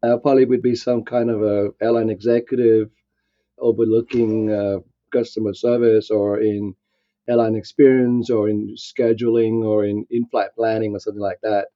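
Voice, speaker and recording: A man's voice: fairly low pitch, flat delivery, moderate pace, dark tone, no echo, muffled recording. A man in his sixties who grew up in Vietnam, answering interview questions in a reflective way, with many fillers and restarts. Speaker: guest.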